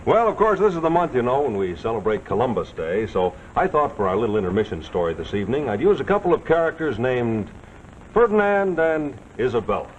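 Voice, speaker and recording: An American man working as announcer and pitchman, with a folksy, jovial late-1940s television sponsor delivery. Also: big pitch swings, a little fast. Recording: dull, noisy old 1940s soundtrack.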